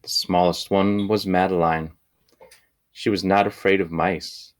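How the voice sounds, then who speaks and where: A man reading a children's picture book aloud in measured, deliberate phrases with pauses. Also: sits low, steady tone. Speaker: storybook reader.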